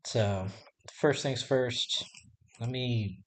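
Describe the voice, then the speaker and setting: A man with a raspy voice, chatting casually and hesitantly to his live-stream viewers while he draws. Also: mid-range voice, very slow. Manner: rambling and hesitant, talking to himself while working. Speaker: artist livestreaming his drawing. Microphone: desk microphone.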